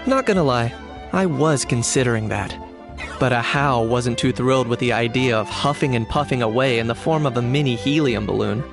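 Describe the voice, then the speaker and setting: An actor voicing a calm young man who speaks in a dry, casual, offhand way, in video game dialogue. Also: mid-range voice, close to an everyday voice.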